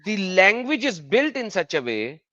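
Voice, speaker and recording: Animated man explaining. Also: moderate pace, no echo, dull audio. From Pakistan. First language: Urdu. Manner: animated explainer, pitch jumping up when he makes a point. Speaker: host of a live call-in stream. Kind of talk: casual live-stream chat.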